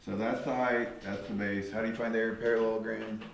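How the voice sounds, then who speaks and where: Male teacher, lowish voice, patient classroom explainer, echoey room. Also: level tone, quick pace, creaky, crowd noise. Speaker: math teacher. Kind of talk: classroom instruction. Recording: echoey classroom with faint noise.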